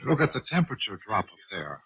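A man's voice: on the low side, big pitch swings, fast talker, breathy edge, no echo, full character voice. An actor playing an anxious, dutiful alien junior officer reporting uneasily to his superior in a 1950s radio drama. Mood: uneasy, alert. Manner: uneasy, deferential military reporting. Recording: tinny, narrow-band 1950s radio recording.

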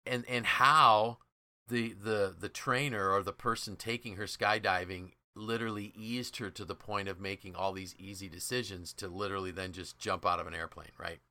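Bright-voiced man explaining in conversation. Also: on the low side, fairly even intonation, moderate pace. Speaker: podcast host.

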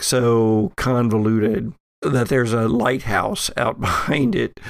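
A man narrating a tabletop horror game as game master, in a halting, thoughtful way with pauses. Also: medium pitch, creaky, no echo, clean studio sound.

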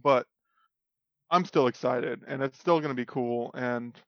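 A man's voice: medium pitch, a little slow, a bit bright, no room sound, lo-fi sound. A man co-hosting a podcast in casual chat, teasing the others and laughing often. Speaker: podcast co-host.

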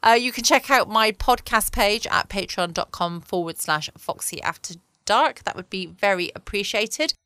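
A woman from England hosting a bedtime podcast from home, chatty and gentle, correcting herself as she goes. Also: mid-range voice, animated, normal speed, few pauses, smooth, no echo. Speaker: podcast host. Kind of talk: casual podcast sign-off.

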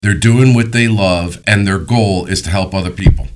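A man's voice: low voice, moderate pace. A middle-aged American man hosting a casual radio and podcast interview, joking and emphatic, often repeating words for stress. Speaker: radio show and podcast host.

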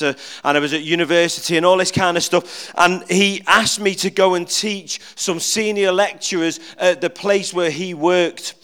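High, clear-voiced preacher, animated. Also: male voice. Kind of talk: informal humorous sermon. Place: church, speaking at the front.

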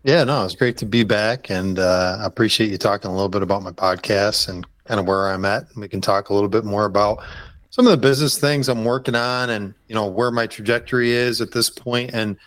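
A man's voice: lowish voice, fairly even intonation, a little fast, fairly bright tone, no room sound. A man guesting on a podcast as a habitat consultant, talking in an easygoing, rambling way. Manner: easygoing, rambling, lots of "you know". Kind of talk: casual podcast interview.

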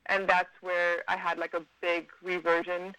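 A woman's voice: on the low side, completely flat delivery, moderate pace, sharp tone, clear, resonant tone, dry recording. Chatty upbeat woman on a phone line. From Miami, USA. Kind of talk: casual interview.

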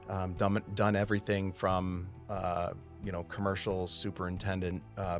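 A man in his forties from Massachusetts, a city services manager giving an unhurried, detailed interview with frequent pauses. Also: deep voice, a bit bright. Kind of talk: casual interview conversation.

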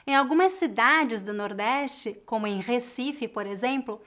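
Brazilian woman teaching, são paulo accent, slightly high voice, calm and clear. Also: measured pace. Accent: São Paulo Portuguese. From Northeast Brazil. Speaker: language teacher. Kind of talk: scripted educational narration.

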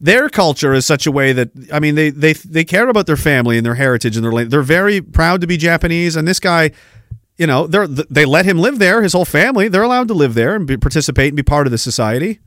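A man's voice: fairly high pitch, lively intonation, fast talker, a bit bright, dry recording, studio quality. A Canadian man hosting a podcast, ranting sarcastically with rhetorical questions while reacting to a clip. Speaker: podcast host. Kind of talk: ranting commentary over a played clip.

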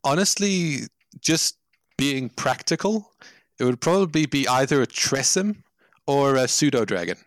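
A man in his twenties with a South African English accent, a podcast guest who thinks aloud in a measured way with pauses. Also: medium pitch, lively intonation, no room sound. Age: 20s. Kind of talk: casual podcast chat.

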